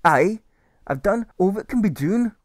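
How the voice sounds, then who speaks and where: A man's voice: high-pitched, lively intonation, measured pace, no room sound, clean studio sound, pitched above the performer's own voice. A man voicing an angry, exasperated Scottish carer who bellows in emphatic dialect, in a storybook reading.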